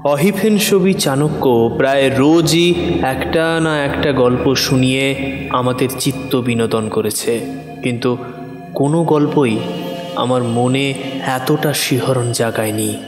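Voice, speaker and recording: Slow, echoing male narrator over music. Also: mid-range voice. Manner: measured, deliberate. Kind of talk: scripted first-person story narration. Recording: heavy reverb with music bed. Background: clear background music.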